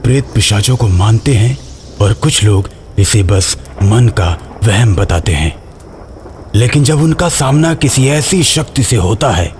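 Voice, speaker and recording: A man's voice: on the low side, a little mellow, a little hiss or hum. A man reading a scripted horror story as its narrator.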